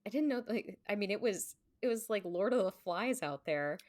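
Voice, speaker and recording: A woman's voice: mid-range voice, animated, normal speed, darkish tone, no room sound, clean studio sound. A woman speaking as a podcast guest in a casual, informal conversational way, often saying "like". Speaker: podcast guest, stage performer. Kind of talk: casual chat.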